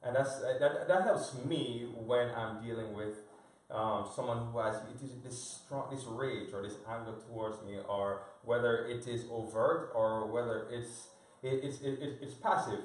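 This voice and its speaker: Casting: thoughtful, halting man, heard from off-mic in a reverberant room. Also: mid-range voice, distant mic. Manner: thoughtful, hesitant, searching for words. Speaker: panelist. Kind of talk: live panel discussion.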